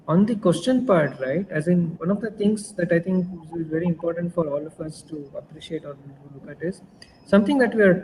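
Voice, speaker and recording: Fairly high-voiced man. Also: darkish tone, in a large hall, slight background noise, poor audio. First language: Kannada. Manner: thoughtful, explanatory, searches for words. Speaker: panelist. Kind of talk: virtual panel discussion. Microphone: video call.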